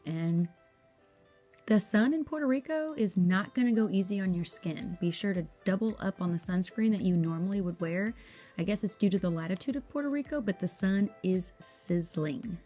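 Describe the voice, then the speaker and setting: A woman's voice: medium pitch, expressive, normal speed, mellow, soft background music. A woman with a Southern US accent, narrating a chatty, upbeat travel review in a folksy way. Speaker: travel vlogger.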